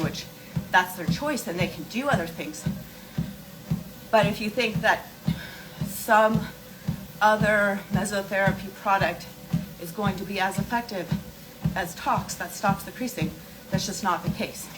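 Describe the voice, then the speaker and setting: A woman's voice: medium pitch, rough recording. A woman hosting a casual skincare livestream, answering viewer questions and explaining emphatically, repeating key points with rhetorical questions. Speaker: skincare and wellness livestream host.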